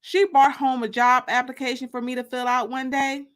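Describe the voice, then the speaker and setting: A woman reading a first-person story as dramatic audiobook narration, expressive and deliberate, drawing out the ends of phrases. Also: high voice. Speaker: author reading her book.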